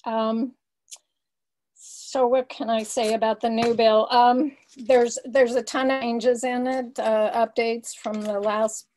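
An older woman giving an informal explanatory presentation over a video call, often halting as she searches for words. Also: a little high, little pitch movement, a little mellow, rough recording. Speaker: guest speaker, peace advocate. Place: small home room, indoors. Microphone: computer webcam on a video call.

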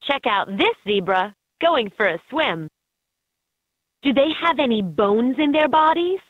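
A woman's voice: a little high, animated, a little slow, no echo. A woman voicing an upbeat guide in a children's educational animal game, in scripted narration with slightly dull game audio.

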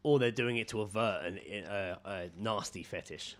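A man's voice: fairly low pitch, moderate pace. A British man co-hosting a podcast, casually reading out odd news with jokey, sweary asides and ready laughter. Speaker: podcast co-host.

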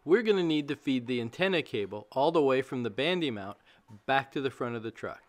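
A man's voice: medium pitch, animated, fairly quick. A man giving scripted how-to narration as the narrator of a DIY video, explaining plainly step by step.

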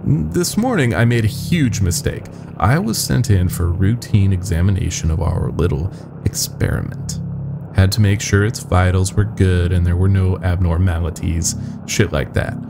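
A man acts out a shaken young lab worker in a horror audio story. His voice sits low with a gravelly fry. He confesses urgently and nervously, swearing as he goes. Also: moderate pace, noisy background.

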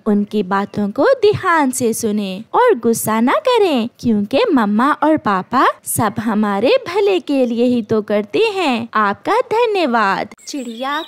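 A woman narrating a scripted children's story in a measured storytelling manner. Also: on the high side.

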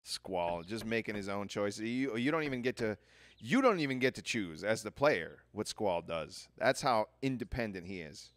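Low-voiced, animated man chatting over gameplay. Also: moderate pace, no echo. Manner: chatty, rambling, thinking aloud. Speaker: video game player commentating. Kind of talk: casual gameplay commentary.

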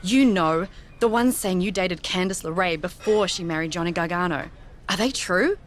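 A woman's voice: on the low side, moderate pace, slight background noise, lightly acted. An actress playing a scheming, ambitious woman wrestler with a sly, coaxing way of talking in a video game. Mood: probing. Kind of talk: video game story cutscene dialogue.

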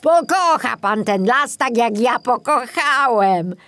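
A woman's voice: on the high side, expressive, slow, a bit bright, voice pushed up. A woman voicing a kindly, cheerful old male forester in a children's audiobook, instructive and reassuring.

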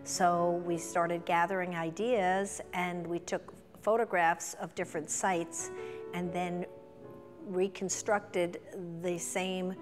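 A woman's voice: lowish voice, flat delivery, slow pace, long reverb tail. A middle-aged woman speaking in a documentary interview as a museum chairperson, recorded indoors. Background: instrumental music.

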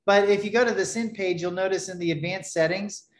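A man in his thirties giving a relaxed screen-share tutorial on his product, talking loosely with many fillers and restarts from a webcam at his desk. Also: high voice, brisk, reverberant. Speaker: developer presenting his product. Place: small home room, indoors.

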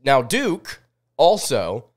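A man in his twenties hosts a live sports recap show, speaking informally and often thinking aloud. His voice sits at a medium pitch and sounds sniffly from a cold. He is close to a podcast microphone in a small studio. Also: huge pitch swings, drawn-out words. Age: 20s. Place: small indoor home studio. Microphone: close desk podcast microphone.

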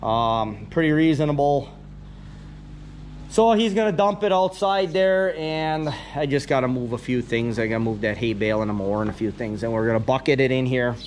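A middle-aged man, a farmer, telling a rambling story in a plainspoken way with many pauses and ums, recorded on a camera inside a big old wooden barn. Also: mid-range voice, animated, slight background noise. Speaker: farmer vlogger. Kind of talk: casual walkthrough narration. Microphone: action camera, held or set nearby.

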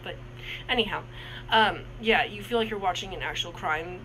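A man's voice: high voice, normal speed, background noise. A man in his twenties co-hosting a casual movie review, talking in a rambling way with many restarts and fillers. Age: 20s.

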